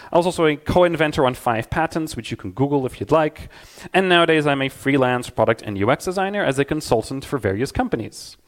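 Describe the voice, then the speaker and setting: A middle-aged man giving an energetic, conversational conference talk on stage in a loud voice. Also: medium pitch. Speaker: conference speaker.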